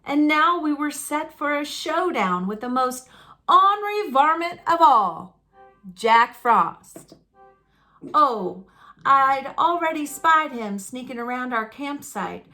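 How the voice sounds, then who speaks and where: A woman reading a children's picture book aloud as a storyteller, in an expressive, folksy and dramatic manner. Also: high voice, faint music behind. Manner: folksy, dramatic, deliberate delivery.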